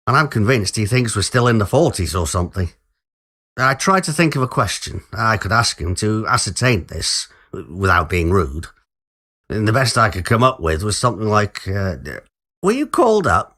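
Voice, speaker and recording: A man's voice: on the low side, lively intonation, moderate pace, fairly bright tone. A man reading a first-person story in an informal, conversational way, as if recalling it to a friend. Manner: informal, colloquial British phrasing. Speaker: story reader playing a musician narrator.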